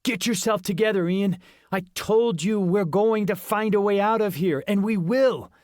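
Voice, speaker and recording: A man's voice: sits high, moderate pace, a little mellow, dry recording, clean studio sound, voice pushed up, close to an everyday voice. A man voicing a blunt, bossy boy who speaks firmly and sardonically in an audiobook. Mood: stern. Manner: firm and sardonic. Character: blunt, bossy boy, friend of the scared kid.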